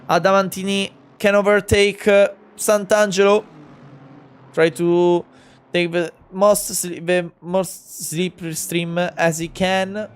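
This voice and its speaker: A man's voice: high-pitched, clean tone. A man in his twenties with an Italian accent, commentating a sim race in English, hesitant, with many fillers and restarts. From Italy. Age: 20s. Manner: gets louder and more excited in close battles.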